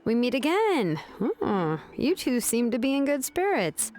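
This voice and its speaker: A laid-back young female smith from a video game. The delivery is casual and nonchalant with animated rises and falls, at a middle pitch, over soft music. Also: unhurried. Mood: friendly, casual. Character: easygoing young woman, laid-back master blacksmith.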